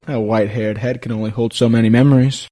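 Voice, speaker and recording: A man's voice: medium pitch, steady tone, fast, warm tone, roomy sound, close to an everyday voice. A man playing an anguished, lovesick court clown in a radio play, with a theatrical, poetic, brooding delivery. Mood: bitterly mocking.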